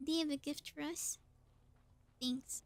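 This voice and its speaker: A woman with a high-pitched voice talks through a video game on a live stream. She is chatty and informal, thinking aloud in a casual commentary style. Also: fairly even intonation, slow pace, vocal fry.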